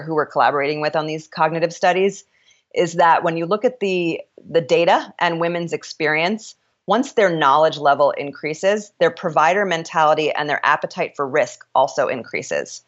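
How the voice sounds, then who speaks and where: A woman with a deep voice, an author and startup founder speaking as an interview guest, frank and opinionated, often restarting her sentences. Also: lo-fi sound. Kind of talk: candid interview conversation.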